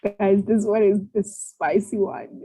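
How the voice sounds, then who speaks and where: A woman co-hosting a maths lesson, chatty and quick to laugh. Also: mid-range voice, steady tone, warm tone, breathy edge, dry recording, low-quality recording. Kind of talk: casual lesson banter.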